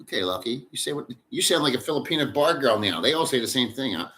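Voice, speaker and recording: A man's voice: medium pitch, animated, fast, bright, forceful tone. Crude, foul-mouthed male livestreamer. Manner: crude, profane and rambling. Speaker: livestream host reading chat. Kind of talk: casual livestream chat.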